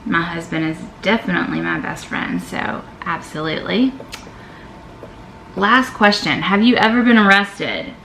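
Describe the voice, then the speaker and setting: A woman, talking openly as she reads out questions and answers them for her vlog. Her voice sits a little low and has a gravelly edge. She records in an echoey room with a faint hiss behind her. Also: expressive, a little slow, fairly bright tone. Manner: chatty, candid, reads each question aloud then answers. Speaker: vlogger. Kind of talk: casual Q&A vlog.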